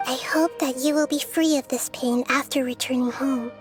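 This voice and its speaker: Casting: woman playing a small, wise forest-goddess girl, high sweet pitch, calm and reassuring, game dialogue over faint music. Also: normal speed, lightly acted. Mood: earnest, compassionate. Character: gentle, wise child-sized girl deity of the forest. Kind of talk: video game voice acting.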